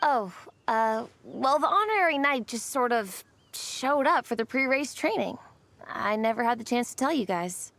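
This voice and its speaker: A woman's voice: on the high side, animated, normal speed, fairly bright tone, no echo. A woman acting as an earnest, apologetic young girl, a hesitant and slightly defensive little sister in a video game. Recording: background music under the dialogue.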